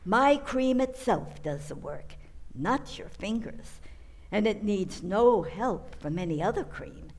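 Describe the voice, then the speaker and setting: A woman reading a scripted commercial in a 1940s radio style, measured and persuasive. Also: medium pitch, lively intonation, darkish tone. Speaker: advertisement announcer.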